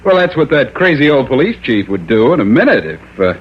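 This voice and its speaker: Cocky, lively small-town tough guy. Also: medium pitch, a little fast, husky. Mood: defensive. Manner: slangy, defensive, smirking. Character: cocky, shifty small-town young tough under suspicion. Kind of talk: old-time radio crime drama acting. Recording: muffled, noisy old radio broadcast.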